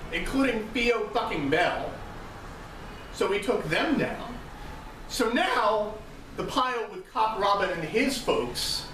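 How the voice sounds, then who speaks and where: Male, high-pitched and highly animated, playing a proud vampire cardinal rallying followers; grandiose oratory, roomy live recording. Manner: grandiose, dramatic, deliberate emphatic pauses. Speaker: live role-play performer giving an in-character speech. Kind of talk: theatrical oration to a gathering. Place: indoor room at a live role-play gathering. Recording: roomy, distant, noisy live-event recording.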